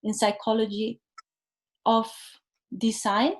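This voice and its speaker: Higher-voiced woman host asking questions. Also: level tone, slow. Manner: hesitant, careful phrasing with fillers. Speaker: interviewer, host. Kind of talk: interview questions.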